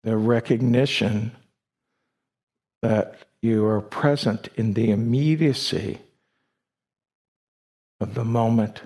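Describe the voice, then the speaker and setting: A man with a low voice and a heavy creak guides a meditation. He speaks in short, deliberate phrases and leaves long pauses between them. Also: darkish tone. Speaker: meditation teacher. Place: meditation retreat hall.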